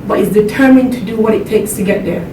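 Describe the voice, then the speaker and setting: A woman from Jamaica giving an earnest motivational talk to a seminar audience in a room. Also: fast talker. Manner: earnest, laughs along with the audience. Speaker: motivational speaker. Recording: echoey hall, distant mic, background rumble.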